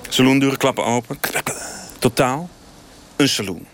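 A man's voice: mid-range voice, normal speed, a little hiss or hum, natural voice. A man playing an earnest, frustrated TV news director with cinematic dreams, speaking hesitantly and repeating himself in a mock radio interview. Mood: dreamy, dramatic. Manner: hesitant, rambling, repeats himself, self-serious. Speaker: interview guest.

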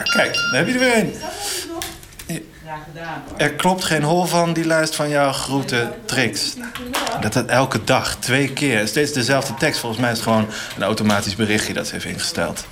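An actor playing an earnest, easily indignant institute director in a satirical radio interview, hesitant and pedantic. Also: male voice, a little high. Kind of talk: mock radio interview, satirical audio drama. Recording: busy call centre chatter behind. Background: crowd of voices in a call centre.

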